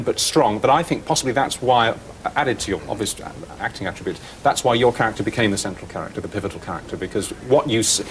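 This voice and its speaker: Fast-talking, effusive English male host. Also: mid-range voice, a little hiss or hum. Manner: effusive and flattering. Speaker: talk-show host. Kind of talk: TV interview.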